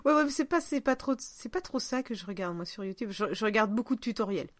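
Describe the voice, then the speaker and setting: A woman's voice: on the high side, animated, quick pace, crowd noise. A woman chatting casually as a podcast host, often letting her thoughts trail off. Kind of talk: casual one-on-one chat.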